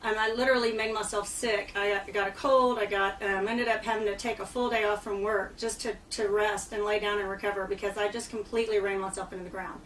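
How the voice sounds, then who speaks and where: A woman's voice: mid-range voice, steady tone, quick pace, hardly any pauses, fairly bright tone, recorded from a distance, slight background noise, rough recording. A woman fitness trainer speaking as a radio guest, earnest and chatty with many fillers, still getting over a lost voice. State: recovering from lost voice. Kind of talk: casual radio talk. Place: radio studio.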